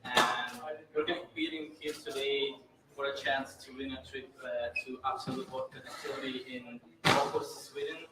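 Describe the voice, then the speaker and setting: A man's voice: mid-range voice, fairly even intonation, moderate pace. A bartender from Latvia, a man explaining his cocktail step by step with hesitant fillers. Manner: explains steps carefully, hesitant fillers, slightly non-native phrasing. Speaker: bartender competitor. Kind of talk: presenting while making a cocktail. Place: large indoor event venue. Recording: very echoey hall, distant mic, noticeable noise.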